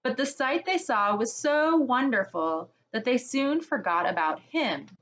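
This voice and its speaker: A woman reading a children's chapter book aloud, slowly and expressively, as a storyteller for kids. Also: a little high. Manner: slow, gentle, expressive reading.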